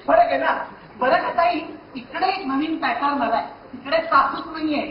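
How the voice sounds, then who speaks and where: A man's voice: very high voice, normal speed. A man playing a pushy salesman in a comic stage play, hawking his goods with wheedling patter. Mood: eager pitching. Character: pushy male street-style salesman hawking grooms like goods. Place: theatre stage. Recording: noisy muffled echoey stage, distant mic.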